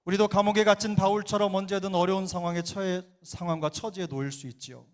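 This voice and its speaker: Man, middle-aged pastor, slight Jeolla accent, fairly high voice, earnest sermon, emphatic swells. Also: fairly even intonation, fast talker. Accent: Jeolla dialect. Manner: earnest preaching, rising to emphatic exhortation. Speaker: senior pastor. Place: church sanctuary.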